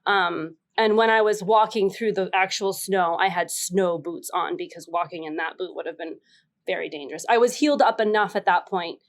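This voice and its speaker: A woman casually telling a personal story as a panelist, stressing words in an animated way. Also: lowish voice, normal speed. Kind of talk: casual anecdote on a comedy game podcast.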